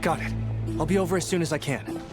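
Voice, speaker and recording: A man's voice: fairly high pitch, fast talker, natural voice. Man playing an earnest young squad leader over music. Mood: brisk. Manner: earnest, friendly, explanatory. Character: earnest, dutiful young male squad leader of a guard order. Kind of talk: English video game character acting. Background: background music.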